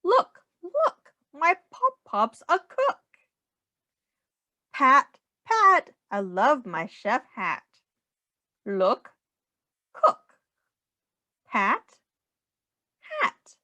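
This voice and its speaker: A woman's voice: sits high, very animated, extremely slow, long pauses, pushed and bright, no echo. A woman reading a children's picture book aloud in a sing-song way, as a teacher speaking simply to young children.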